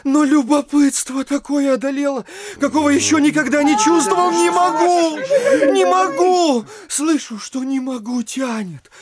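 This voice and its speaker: An actor playing an excitable, nosy postmaster in an audio play, speaking in a dramatic, breathless and exclaiming way. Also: male voice, very high-pitched, unhurried, over a noisy crowd. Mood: feverish confession. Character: excitable nosy postmaster, flustered bearer of shocking news. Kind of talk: theatrical audio play acting. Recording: music behind the voice.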